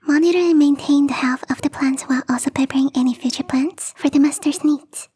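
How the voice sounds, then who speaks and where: A woman voicing a gentle young catgirl gardener in an intimate ASMR roleplay, speaking in a soft, breathy, whispery voice straight to the listener. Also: sits high, fairly even intonation, a little fast, close to an everyday voice. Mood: pleased, earnest. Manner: gently teasing, confiding, addressing the listener directly.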